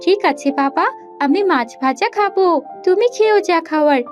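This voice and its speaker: A woman's voice: extremely high pitch, lively intonation, moderate pace, highs cut off, soft background music. A woman voicing a cheerful, helpful little village daughter in a fairy tale cartoon. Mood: excited.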